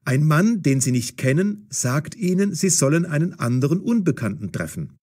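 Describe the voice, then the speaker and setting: A man narrating a scripted audio story for language learners in a measured, clearly enunciated way. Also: a little high, big pitch swings, darkish tone.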